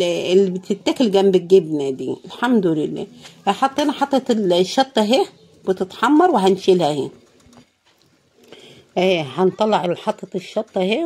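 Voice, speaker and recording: Woman, Egyptian Arabic accent, hoarse, tired, casual cooking chatter, pious asides. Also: mid-range voice, lively intonation, fairly quick, a little mellow. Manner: tired, pious, often praising God. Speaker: cooking vlogger.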